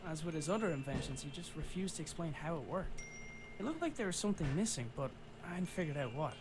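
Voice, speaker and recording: A man's voice: on the high side, sing-song, fast, background noise. An actor playing a wry, reflective father searching for his own dad, narrating an adventure game in the first person. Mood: musing. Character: adult son and father, wry inventor's child searching. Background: game music.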